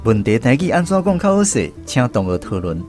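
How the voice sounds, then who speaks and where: A man with a Taiwanese accent hosting a radio language lesson in a measured, explanatory way. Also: medium pitch, big pitch swings, over music. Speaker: radio show host.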